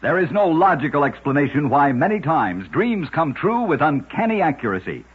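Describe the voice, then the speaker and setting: A dull, lo-fi old radio recording carries a man's markedly husky voice, pitched on the high side. He narrates short scripted tales as the host, measured and emphatic. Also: sing-song.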